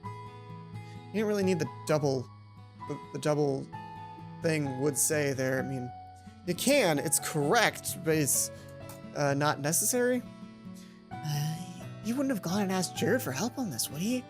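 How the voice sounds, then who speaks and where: A woman's voice: deep voice, lively intonation, measured pace, long pauses. A woman reading a visual novel aloud as a let's play host, narrating expressively and breaking off for casual comments. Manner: performs dialogue, breaks off to comment. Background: game music.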